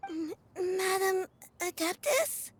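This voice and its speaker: Very high, earnest little girl. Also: expressive, slow pace, a little hiss or hum, exaggerated voice. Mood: fragile, grateful. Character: sweet, earnest little girl, shy and hesitant. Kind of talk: video game voice acting.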